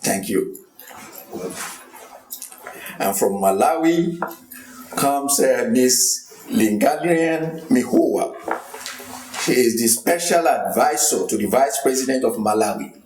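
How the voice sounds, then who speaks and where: A man introducing conference panelists, speaking in a measured way with frequent hesitations. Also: mid-range voice, animated, a little mellow. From Nigeria. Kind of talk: formal introductions. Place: university conference hall. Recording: echoey hall.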